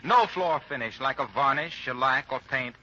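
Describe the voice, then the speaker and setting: A man's voice: mid-range voice, moderate pace, pushed and bright. A man reading a 1940s radio commercial as an announcer, with an emphatic, polished sales delivery. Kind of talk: 1940s radio advertising read. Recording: dull old radio broadcast, faint music.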